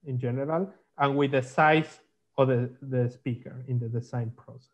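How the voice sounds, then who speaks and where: A man explaining technical slides in halting, on a video call. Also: medium pitch, steady tone. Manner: halting, non-native English phrasing. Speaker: guest, magnetics consultant. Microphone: video call microphone. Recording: dull lo-fi online call.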